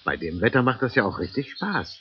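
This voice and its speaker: Friendly forester guy played by an actor, chatting to kids, really animated, muffled old tape. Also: mid-range voice, a little fast, close to an everyday voice. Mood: friendly, coaxing. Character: friendly adult male forester chatting with children. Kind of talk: radio play acting. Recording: dull lo-fi cassette, faint noise. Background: birdsong, outdoor ambience.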